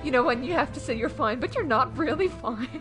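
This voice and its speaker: A woman's voice: high-pitched, animated, fairly bright tone. A young woman with a Yorkshire accent, streaming a game and teasing her friend with lots of laughter. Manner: giggly, teasing, quick to laugh. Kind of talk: casual gaming chat. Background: game music.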